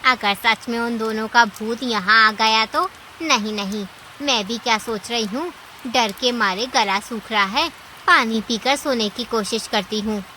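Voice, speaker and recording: Woman doing bossy greedy cartoon auntie animal, bright high voice, dramatic, bit of rainy noise behind. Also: measured pace, poor audio. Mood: frightened. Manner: theatrical, scolding exclamations. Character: greedy, scheming, scolding aunt animal, quick to panic. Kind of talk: Hindi cartoon story acting.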